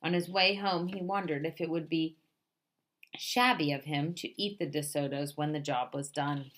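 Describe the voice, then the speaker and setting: A woman reading a children's picture book aloud in an expressive storytelling way. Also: low-pitched, moderate pace.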